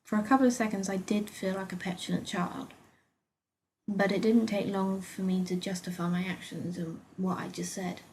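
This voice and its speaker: A woman with a British accent reading her own story aloud as an expressive first-person narrator. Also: medium pitch, normal speed, a little hiss or hum, poor audio.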